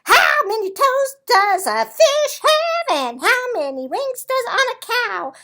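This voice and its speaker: Lady doing a goofy happy boy penguin for a kids book, super high and loud, singsongy. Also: big pitch swings, slow, heavily acted. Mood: boisterous singing. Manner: exuberant, singsong, loud off-key singing. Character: goofy cheerful male penguin, loud, eager oddball. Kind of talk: animated children's storybook character voice.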